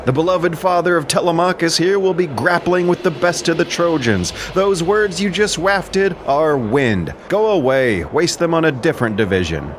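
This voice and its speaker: A man's voice: a little high, lively intonation, normal speed, hardly any pauses, slight background noise, voice pushed up, natural voice. A man playing an indignant, cunning Greek warrior captain in an epic audio drama, with a biting, declamatory manner. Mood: angry, defiant.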